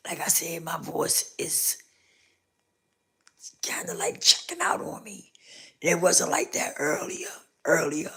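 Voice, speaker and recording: A low-pitched woman whose voice has gone hoarse and rough, half whispering with a gravelly fry through much of it. She hosts a casual live stream, circling back over the same points as she speaks directly to her viewers. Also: wildly swooping pitch, takes it slowly, pauses often, fairly bright tone. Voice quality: rough, scratchy voice. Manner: repetitive, talks straight to viewers.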